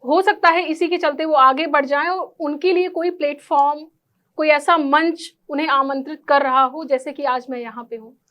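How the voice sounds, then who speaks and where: A woman speaks as an interview guest in a clearly high-pitched voice. She answers formally and earnestly, slipping English words into her sentences. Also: steady tone, moderate pace, fairly bright tone. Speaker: interview guest, architect and NGO volunteer.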